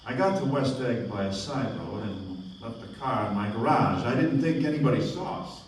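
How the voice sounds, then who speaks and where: A man playing a genteel, guarded wealthy gentleman in a radio play, in a distant, echoey and noisy recording. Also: mid-range voice, lively intonation, normal speed, close to an everyday voice. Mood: uneasy, guarded.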